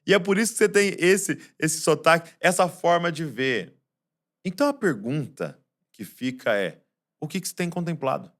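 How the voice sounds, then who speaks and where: A man preaching a Christmas sermon, shifting between soft, low passages and loud, raised ones, full of rhetorical questions. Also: lively intonation, normal speed.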